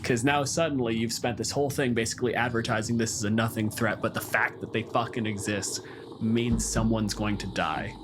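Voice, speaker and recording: A man's voice: fairly low pitch, steady tone, brisk, noisy background. A man casually discussing tabletop games, explaining in a wry, sweary way.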